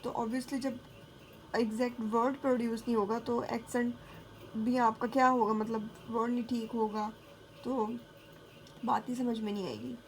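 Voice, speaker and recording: A woman teacher giving a lecture, talking casually and checking in with her students, then reading English passages slowly. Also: a little high, little pitch movement, a little hiss or hum, low-quality recording. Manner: frequent checking fillers. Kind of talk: classroom lecture mixing Hindi and English.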